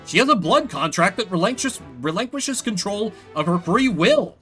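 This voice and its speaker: A man's voice: high-pitched, animated, moderate pace, fairly bright tone, breathy edge, faint music behind, pitched above the performer's own voice, heavily acted. A man voicing an earnest, indignant young defense attorney in a video game, emphatic and argumentative.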